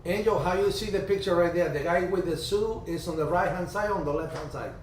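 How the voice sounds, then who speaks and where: Male host, storyteller, slightly high voice, roomy video-call sound. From Cuba. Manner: chatty storyteller, halting restarts. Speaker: ham radio livestream host. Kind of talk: casual group video chat. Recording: distant roomy video-call audio, faint hiss.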